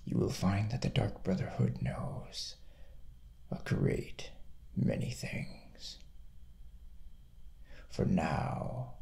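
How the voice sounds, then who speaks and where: A young man playing a sinister, courteous assassin cult leader in a hushed, half-whispered ASMR roleplay. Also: on the low side, creaky, heavily acted. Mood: solemn, menacing. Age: young adult.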